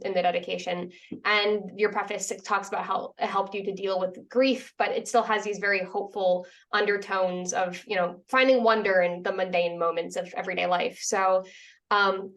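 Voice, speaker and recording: A woman hosting a casual interview, encouraging and warm, with many "like" fillers. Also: mid-range voice, distant mic. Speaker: interviewer, host. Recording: voice set back from the mic.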